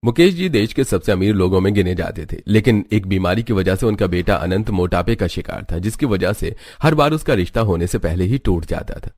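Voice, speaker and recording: A man's voice: low-pitched, lively intonation, fairly quick, few pauses, darkish tone, no room sound, studio quality. A man narrating a scripted moral story as a storyteller.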